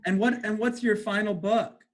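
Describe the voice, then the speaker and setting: A man's voice: sits high, fairly even intonation, moderate pace. Enthusiastic man hosting an interview. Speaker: interview host. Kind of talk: casual interview.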